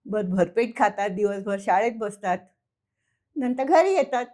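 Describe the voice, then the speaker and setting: A woman's voice: mid-range voice, lively intonation, unhurried, a little mellow. A woman storyteller narrating informally for children, conversational, addressing listeners and repeating words for effect.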